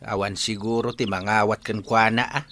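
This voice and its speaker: A man's voice: fairly low pitch, flat delivery, moderate pace, background noise, lightly acted. An actor playing a blunt, grumbling older rural father with an Ilocano accent in a radio drama. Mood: grumbling, critical. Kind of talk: Filipino radio drama acting.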